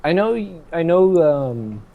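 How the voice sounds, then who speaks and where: A man's voice: a little high, sing-song, slow, gravelly, phone-quality sound. A man co-hosting a livestream, chatting and joking in a casual, upbeat way. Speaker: co-host on the stream. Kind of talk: casual chat.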